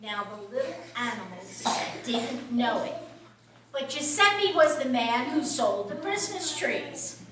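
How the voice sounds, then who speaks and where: A high-pitched woman tells a story to young children at a puppet show. She speaks slowly in simple phrases, recorded from a distance in a very echoey room. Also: lively intonation, fairly bright tone. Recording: very echoey room, distant mic, music behind.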